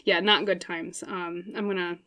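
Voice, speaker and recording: A woman's voice: on the low side, fairly even intonation, a bit bright. A young woman casually talking through a tarot reading, chatty and full of fillers, her voice getting a bit scratchy. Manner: chatty, thinks aloud with many fillers and quick laughs. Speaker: tarot reader.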